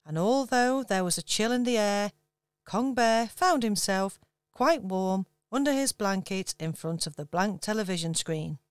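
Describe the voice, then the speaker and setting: A woman giving measured storybook narration for a radio pantomime. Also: mid-range voice, lively intonation.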